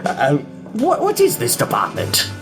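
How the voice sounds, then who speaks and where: A man's voice: sits high, wildly swooping pitch, unhurried, vocal fry, over music, full character voice. A man playing a nervous, naive new government recruit in an audio drama, hesitant, stammering and bewildered.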